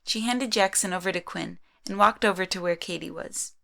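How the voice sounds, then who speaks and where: A woman narrator reads a scripted adventure story. Her voice is a little low, with noticeable fry running through it. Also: normal speed, sharp tone.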